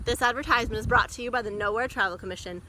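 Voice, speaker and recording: A woman's voice: on the high side, rapid-fire, noisy background, lightly acted. A woman playing a perky, persuasive announcer in a scripted parody travel commercial, with an upbeat sales manner. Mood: upbeat, salesy.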